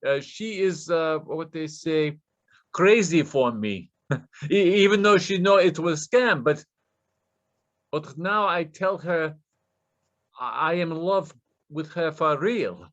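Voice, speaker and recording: An actor playing a desperate, nervous Russian scammer with a Russian accent, stammering deferentially in broken English in a play reading. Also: male voice, a little high, animated, slow, pauses often, a bit bright, muffled recording. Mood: eager, boastful.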